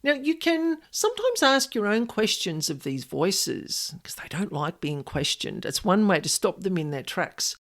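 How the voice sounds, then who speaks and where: A woman's voice: mid-range voice, very animated, measured pace, few pauses. A woman hosting a podcast, telling personal stories and giving advice in an informal, emphatic monologue, repeating key words for stress. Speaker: podcast host, paranormal specialist.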